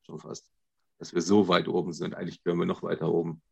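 A man with a low, gravelly voice and plenty of vocal fry, heard in a dry recording. He chats casually as a podcast co-host. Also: monotone, quick pace, dark tone, dry recording, dull audio. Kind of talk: casual chat.

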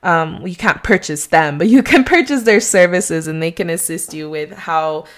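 A woman's voice: fairly low pitch, normal speed. A young adult woman with a slight Mexican accent, a real estate agent giving rambling, conversational advice on her solo podcast.

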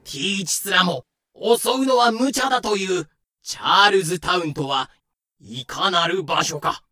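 A man's voice: high voice, unhurried, sharp tone, no room sound, studio quality. A man giving scripted, dramatic narration for an audio drama.

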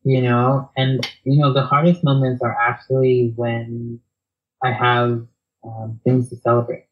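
A soft-spoken man, talking reflectively as an interview guest, hesitant with many fillers and restarts. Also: medium pitch, little pitch movement, unhurried, phone-quality sound.

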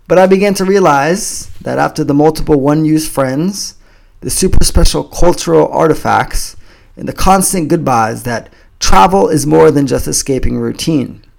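American guy, very gravelly low fry in his voice, earnest measured promo talk. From United States. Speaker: community founder pitching a program. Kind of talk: scripted promotional talk to camera. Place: home in a Japanese coastal town.

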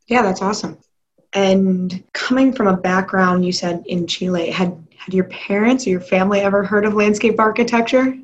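Woman host, friendly, asks easy follow-up questions, mid pitch. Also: normal speed, highs cut off. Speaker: interview host. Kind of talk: casual interview conversation.

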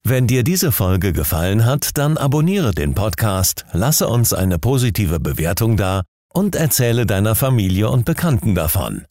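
A man reading a scripted podcast outro with a lively promotional delivery. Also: lowish voice, moderate pace, no echo, studio quality. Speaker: podcast announcer.

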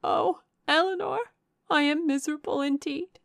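A woman's voice: sits high, moderate pace, creaky, no echo, clean studio sound, voice pushed up. A woman narrator voicing an anguished, heartbroken young woman from a classic novel, passionate and exclaiming wildly. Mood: miserable. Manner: wild, exclaiming, lamenting.

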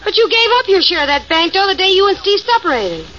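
A wisecracking gangster's moll. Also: female voice, very high-pitched, very animated, fast, bright, forceful tone, muffled recording, full character voice. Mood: sardonic. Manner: wisecracking, sardonic. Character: sharp-tongued, cynical gangster's moll. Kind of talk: 1940s radio acting.

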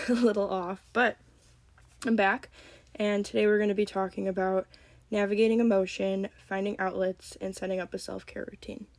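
A young woman with a slight cold hosting a solo podcast, talking calmly and gently. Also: mid-range voice, vocal fry, no echo. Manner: calm, gentle, reflective. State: has a cold. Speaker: podcast host. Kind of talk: solo podcast talk on mental health.

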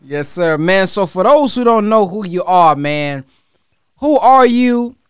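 A man hosting an interview, introducing his guest with energetic hype. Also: sits high, a little slow, smooth, dry recording, clean studio sound. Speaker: host.

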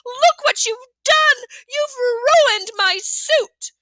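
Storybook furious lady, shrill and haughty; woman reader doing it. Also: normal speed, pushed and bright, no room sound. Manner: shrill, indignant.

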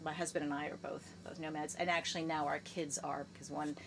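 Low-voiced American woman in her fifties. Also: little pitch movement, quick pace, vocal fry, background noise. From United States. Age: 50s. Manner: chatty, enthusiastic, frequent restarts. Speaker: guest, gerontologist. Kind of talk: casual podcast interview.

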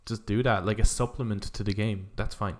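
A man's voice: fairly low pitch, fairly even intonation, brisk. A man co-hosting a casual podcast, thinking aloud in a tentative, conversational way. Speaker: podcast co-host.

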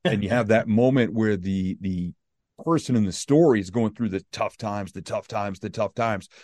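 A man's voice: lowish voice, moderate pace, darkish tone, dry recording. An American man hosting a podcast, introducing his guest and asking questions in an upbeat, chatty way. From United States. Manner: enthusiastic, chatty. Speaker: podcast host.

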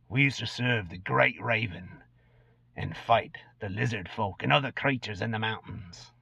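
A man's voice: fairly low pitch, unhurried, creaky, slightly rough, heavily acted. A man voicing an ancient, gaunt, cursed goblin, a brooding and unrepentant former killer, in a dramatic reading of a fantasy tale. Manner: brooding storyteller recounting dark deeds. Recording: dull lo-fi recording in echoey room.

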